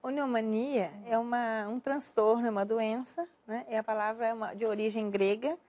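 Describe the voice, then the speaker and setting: A woman's voice: fairly high pitch, steady tone, moderate pace, darkish tone, dull audio. A woman psychologist, a guest on a TV show, explaining a disorder and often adding tag questions. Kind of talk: TV interview answers.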